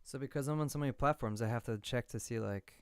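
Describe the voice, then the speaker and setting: A man's voice: mid-range voice, quick pace, smooth, no room sound. A man chatting casually on a livestream while producing music, thinking aloud in an informal way. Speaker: music producer live streaming.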